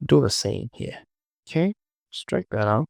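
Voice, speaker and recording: A man giving a casual software tutorial, talking through his work in short, informal instructions as he goes. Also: mid-range voice, animated, measured pace, vocal fry, no room sound, clean studio sound. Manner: informal, muttered short instructions to self and viewer. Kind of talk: casual screen-recorded software tutorial, talking while working.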